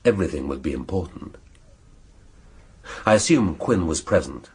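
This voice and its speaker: An actor playing a brusque, irascible police chief inspector in a radio drama, blunt and probing. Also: male voice, sits low, animated, moderate pace, breathy edge, exaggerated voice. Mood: sardonic.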